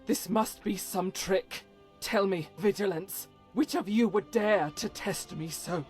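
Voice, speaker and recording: A woman's voice: mid-range voice, unhurried, a little mellow, soft background music, lightly acted. A woman voicing a devout, stern holy warrior who carries private doubt, speaking solemnly and formally, as in a fantasy game. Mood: alarmed, defiant. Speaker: voiced follower character in a fantasy game mod. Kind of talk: scripted dramatic game dialogue.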